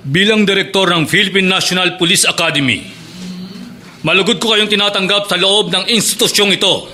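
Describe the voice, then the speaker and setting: Forceful, sharp-voiced academy director. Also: male voice, high-pitched, a little fast, faint music behind. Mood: solemn, rousing. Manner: declamatory, authoritative. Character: stern, formal police academy director. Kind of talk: formal speech in Filipino radio drama.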